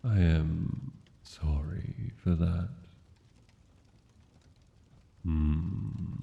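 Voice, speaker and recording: A tender, possessive dragon king, acted by a man, speaks in a very low, extremely slow voice. His manner is courtly and intimate, as in a fantasy roleplay, and the muffled sound hangs in a long hall-like reverb. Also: vocal fry, full character voice. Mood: tender, soothing. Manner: formal, unhurried, courtly endearments.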